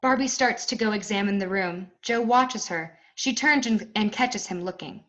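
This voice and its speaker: A woman's voice: mid-range voice, normal speed, muffled recording. A woman reading stage directions as scripted narration for a play reading.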